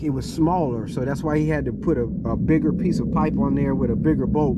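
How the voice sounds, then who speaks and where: Hoarse high-voiced man vlogging. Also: heavy background noise. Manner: chatty, keeps saying "you guys". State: hoarse, voice cracking. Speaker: farm vlogger. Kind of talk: casual vlog talk to camera.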